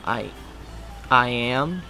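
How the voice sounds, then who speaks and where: A weary male storybook hero, voiced by a man reading aloud, exasperated and incredulous. He mutters in a bright, middle-pitched voice over faint music. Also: lively intonation, extremely slow, exaggerated voice. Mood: weary, annoyed.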